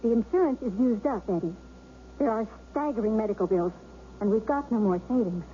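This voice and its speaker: An actress playing a patient, loving wife who speaks gently and pleads with her husband. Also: on the high side, a little fast, close to an everyday voice. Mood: pleading. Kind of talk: 1970s radio drama acting. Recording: tinny old radio broadcast with hiss.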